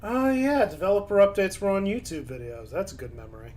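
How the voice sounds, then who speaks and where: A man's voice: high voice, a little fast, a little mellow. A man streaming casually, reading chat aloud and commenting in a laid-back way, his voice starting to go.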